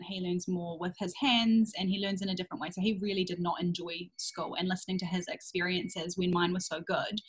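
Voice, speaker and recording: Soft-voiced young mother. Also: on the low side, slightly rough, low-quality recording. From England. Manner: open, reflective, with fillers and quick asides. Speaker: interview guest, worldschooling mother. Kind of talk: casual interview answers.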